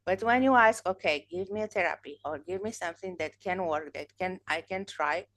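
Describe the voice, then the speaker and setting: A woman's voice: lowish voice, moderate pace, fairly bright tone. A woman in her thirties, a native Romanian speaker, hosting a podcast in casual video-call chat, talking thoughtfully with frequent restarts. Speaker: podcast host. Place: home room, indoors. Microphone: video call webcam.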